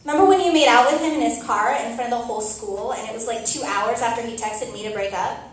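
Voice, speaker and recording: Brash young woman, played by a stage actress, spiteful and emphatic, fairly high voice, distant in a very echoey room with faint noise. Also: fast, natural voice. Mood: needling. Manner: casual, profane, emphatic. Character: brash, spiteful young woman one-upping her frenemy. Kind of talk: staged reading of a comic play. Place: live reading in a tavern.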